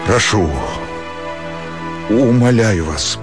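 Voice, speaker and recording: An actor playing an ardent, earnest gentleman in love, declaiming with theatrical passion in a radio drama. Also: fairly low pitch, lively intonation, over music. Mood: ardent, pleading. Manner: impassioned, declamatory, drawn-out pleading.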